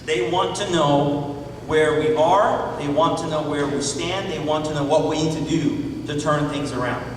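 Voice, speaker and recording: A man's voice: fairly high pitch, fairly even intonation, measured pace, background noise. A man from Iran, a pastor, giving an emphatic political speech to a live audience and firing rhetorical questions at the crowd. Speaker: pastor and public speaker. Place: large meeting hall. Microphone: distant mic in the room. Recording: echoey hall recorded from far off.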